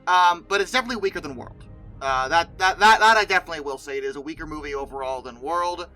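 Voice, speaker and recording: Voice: medium pitch, fast, bright, forceful tone, faint music behind, low-quality recording. A video host giving casual, opinionated commentary in a rambling, self-correcting, colloquial way. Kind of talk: casual commentary on film dinosaurs.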